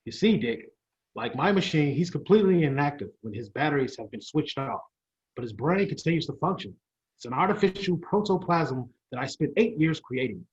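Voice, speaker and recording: A man's voice: on the high side, expressive, fairly quick, lightly acted. A man playing a proud older inventor professor in an old-style radio play, explaining theatrically and sometimes stumbling. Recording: dull lo-fi sound.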